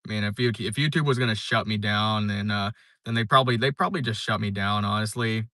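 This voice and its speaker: Laid-back young male streamer, bright crisp voice. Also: fairly low pitch, quick pace, no room sound, clean studio sound. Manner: laid-back, rambling, many fillers and restarts. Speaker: live streamer. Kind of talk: casual live stream chat, reading viewer comments.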